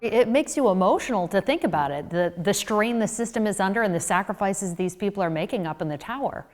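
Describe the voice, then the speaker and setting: A woman's voice: medium pitch, lively intonation, a little fast, soft background music. A woman reporter asking questions in an unscripted interview, recorded with room reverberation.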